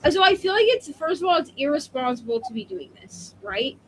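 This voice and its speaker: A woman's voice: high-pitched, big pitch swings, brisk, a bit bright, slight background noise, rough recording. A woman in her thirties co-hosting a casual book discussion, talking in a rambling, opinionated way with emphatic asides. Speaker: co-host of a book readalong live show.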